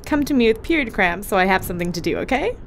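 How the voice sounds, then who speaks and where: A woman's voice: mid-range voice, lively intonation, quick pace, gravelly, slight background noise. A woman playing a caring, wry spaceship medic in an audio drama, chatty, informal and reassuring. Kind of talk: naturalistic audio drama acting.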